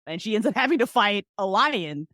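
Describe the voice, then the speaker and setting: A woman's voice: mid-range voice, big pitch swings, fairly quick, sharp tone, dry recording. A woman in her forties chatting casually as a podcast guest, talking in an informal, slangy, emphatic way. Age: 40s. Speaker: podcast guest, audio drama creator. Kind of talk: casual chat.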